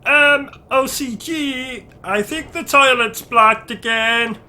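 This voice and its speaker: A man's voice: extremely high pitch, fairly even intonation, slow pace, bright, forceful tone, pitched above the performer's own voice, heavily acted. A man voicing Bertram, a meek, hesitant sidekick who interrupts the host. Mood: timid. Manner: timid, hesitant.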